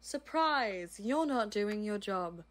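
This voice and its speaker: A woman's voice: mid-range voice, expressive, takes it slowly, over music. A woman playing a serious, exacting aide with a formal, dry manner in an audio roleplay. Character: serious, exacting female crime-business aide, dryly exasperated. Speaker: voice actor. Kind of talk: amateur audio roleplay acting.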